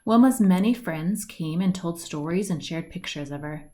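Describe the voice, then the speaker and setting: Woman reading a storybook with lively intonation. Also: lowish voice, moderate pace. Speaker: reader of a children's picture book. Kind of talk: read-aloud storybook narration. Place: indoors at home, at a wooden table by a window.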